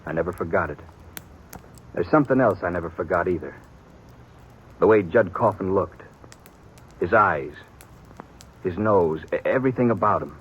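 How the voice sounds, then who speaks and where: An actor playing a stern young rancher in a radio western, talking in blunt, emphatic frontier phrases. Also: male voice, deep voice, animated, normal speed, long pauses. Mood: grim, intense. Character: stern, hearty young rancher, protective brother, fixed in opinions. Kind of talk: 1950s radio western acting. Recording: dull, noisy vintage radio recording.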